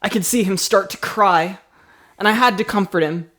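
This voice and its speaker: Improv comedy podcast host, halting and playful. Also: medium pitch, a bit bright.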